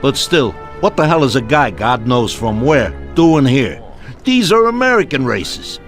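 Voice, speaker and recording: An actor playing an indignant American mobster in a video game, protesting in emphatic everyday speech. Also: male voice, medium pitch, big pitch swings, a little slow, fairly bright tone, faint music behind. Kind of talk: video game cutscene dialogue.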